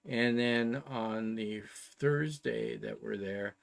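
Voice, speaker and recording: A man in his sixties with a Canadian accent, chatting casually as a show co-host and explaining plans in a halting, methodical way. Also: fairly low pitch, creaky. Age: 60s.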